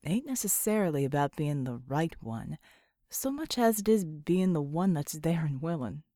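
A woman's voice: low-pitched, lively intonation, moderate pace, mellow, no room sound, studio quality, pitched below the performer's own voice. A woman voicing a plain-spoken, folksy man who gives homespun advice in an audiobook. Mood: calm, earnest.

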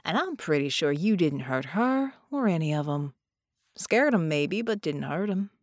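A woman's voice: low voice, expressive, normal speed, no room sound, studio quality. Woman acting a folksy young male ghost. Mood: reflective. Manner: folksy, colloquial grammar.

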